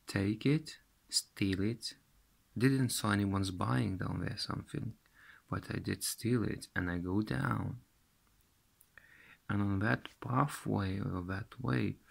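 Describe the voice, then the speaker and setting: A slow-talking man in his thirties from Lithuania, his English carrying a Russian accent and a gravelly, fry-laden edge. He speaks haltingly, repeating words as he hunts for them, close to a handheld phone in a small room. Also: lowish voice. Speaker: vlogger. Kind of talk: recounting a dream to camera. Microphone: phone camera held close.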